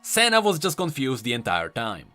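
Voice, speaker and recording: A man's voice: medium pitch, huge pitch swings, moderate pace, pushed and bright, soft background music. A man doing an enthusiastic, conversational voice-over commentary as a video host. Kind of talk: game lore commentary voice-over.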